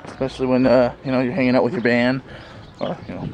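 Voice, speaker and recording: A man's voice: medium pitch, flat delivery, fast, darkish tone. Rambling man vlogging outdoors. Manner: rambling, many fillers, chuckles often. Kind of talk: casual rambling vlog. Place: outdoors in a sunny backyard. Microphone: handheld camera at arm's length. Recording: faint outdoor background noise.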